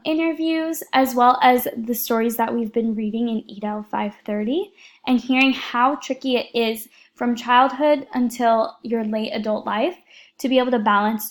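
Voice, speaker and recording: Young woman student, slightly high voice, earnest and steady, reading a prepared class report. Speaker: education student presenting a class project.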